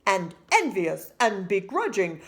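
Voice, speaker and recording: A woman voicing a doting, wordy father in a storybook, speaking in an emphatic, deliberate way. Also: medium pitch, sing-song. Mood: reassuring, emphatic.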